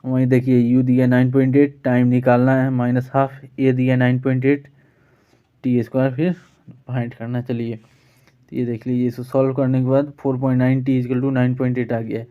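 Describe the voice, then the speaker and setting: Indian guy teacher going thru exam questions, super monotone, smooth voice, kinda muffled lofi audio. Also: medium pitch, a little fast. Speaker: physics teacher. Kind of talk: informal exam-prep lesson, solving questions. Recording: dull, lo-fi sound.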